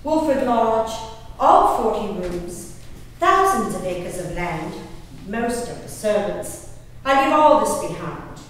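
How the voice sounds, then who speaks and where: A woman playing an excitable, willful young gentlewoman in a dramatic stage monologue, expressive, with emphatic pauses, recorded from far off in an echoey hall. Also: medium pitch. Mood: defiant, excited. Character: Elizabeth Simcoe, excitable, willful young English gentlewoman diarist. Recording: distant, echoey hall recording, faint noise.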